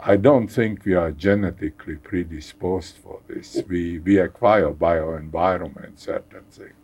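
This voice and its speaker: An older man from Hungary with a Hungarian accent, speaking haltingly as a guest in a conversational interview, repeating words and chuckling wryly. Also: sits low, a little mellow, breathy edge. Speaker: guest scientist.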